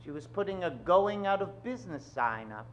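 A man's voice: high-pitched, big pitch swings, normal speed, highs cut off, over music. A man playing a melodramatic narrator of a comic old-time radio mystery, telling the story theatrically. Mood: dramatic. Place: theatre stage.

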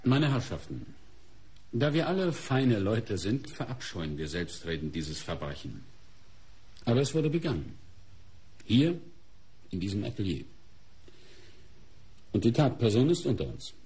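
An actor playing a shrewd, courteous police inspector in a German radio crime drama, speaking in a deliberate, formal, dramatic way. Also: male voice, fairly low pitch, very animated, warm tone, slightly rough, full character voice. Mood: confident, deliberate.

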